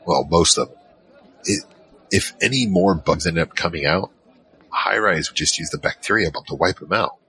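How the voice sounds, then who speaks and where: A man's voice: low voice, bright, forceful tone, exaggerated voice, low-quality recording. A man playing a curious, hesitant adventurer who recounts his story in a conversational first person for an audio drama. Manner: conversational, hesitant, searching for words. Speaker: narrator and lead character. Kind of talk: in-character first-person scripted narration.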